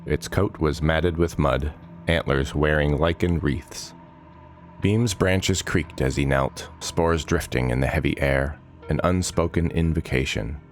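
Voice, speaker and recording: A man reading dramatic fantasy narration in a measured, solemn manner, giving short phrases weight. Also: extremely low pitch, faint music behind.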